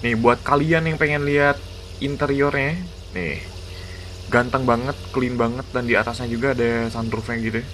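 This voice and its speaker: A man giving excited, slangy gameplay commentary as a gaming YouTuber. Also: medium pitch, normal speed, a little hiss or hum. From Indonesia. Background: background music.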